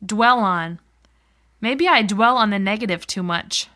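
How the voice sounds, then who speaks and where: A woman reciting phrases for a language lesson with careful, clear enunciation. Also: mid-range voice, unhurried, pushed and bright. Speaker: phrase reader.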